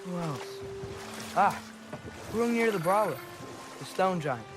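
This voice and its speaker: A man's voice: high-pitched, lively intonation, slow pace, over music. A young man playing a curious, persistent teenage boy in a video game. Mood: eager, persistent. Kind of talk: video game dramatic acting.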